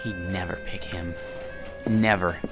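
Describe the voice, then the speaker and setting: An actor playing a wealthy middle-aged businessman who is tired of his money, with a gravelly, wistful way of talking in a radio drama. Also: low-pitched, steady tone, a little slow, music in the background. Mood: weary. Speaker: wealthy businessman George Hanley.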